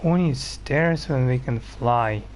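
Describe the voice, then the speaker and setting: A man's voice: mid-range voice, slow, a little hiss or hum. A man giving casual gameplay commentary in short, offhand muttered reactions. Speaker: gaming channel player.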